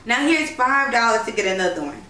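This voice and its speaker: A woman's voice: on the high side, lively intonation, a little fast, pushed and bright. A woman voicing a kind, genteel lady traveller, gracious and amused, in a storybook reading. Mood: wistful. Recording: roomy, distant, noisy home recording.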